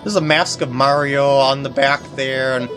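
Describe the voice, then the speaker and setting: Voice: medium pitch, fairly even intonation, normal speed, music in the background. A game walkthrough host giving casual, rambling commentary with mock exasperation.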